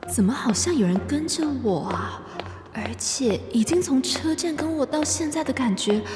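Woman playing exasperated young female pianist, fairly high voice, Taiwanese Mandarin accent, audio drama, faint music underneath. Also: expressive, normal speed. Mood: uneasy.

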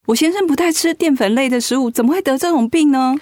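A woman's voice: high-pitched, quick pace, a little mellow, no echo, clean studio sound, lightly acted. A woman playing an anxious, bewildered wife of a sick man in a radio drama. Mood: anxious, pleading.

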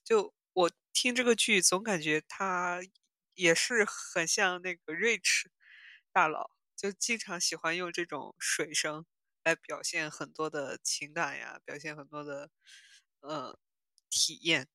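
Female podcast host, bright clear-cut tone, conversational and musing, short phrases, recorded dry. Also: on the low side, measured pace, dry recording. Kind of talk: casual chat reviewing audio dramas.

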